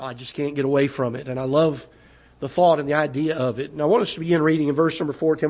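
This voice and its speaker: Male pastor, hoarse and recovering, halting delivery that lifts when he preaches; slightly high pitch; muffled church broadcast sound. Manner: halting, repeats words, rises when preaching. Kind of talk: sermon. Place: church auditorium.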